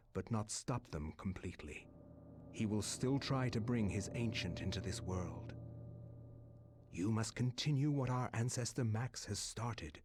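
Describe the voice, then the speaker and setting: A man voicing an older relative reading his farewell letter in a grave, measured way. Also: fairly low pitch. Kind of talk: read-aloud letter narration. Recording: clear background noise.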